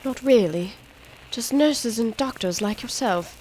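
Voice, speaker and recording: A woman's voice: a little high, measured pace, darkish tone, slight background noise, natural voice, rough recording. An actress playing a weak, exhausted wealthy mother and former captive, weary and pleading, in an old-time radio drama.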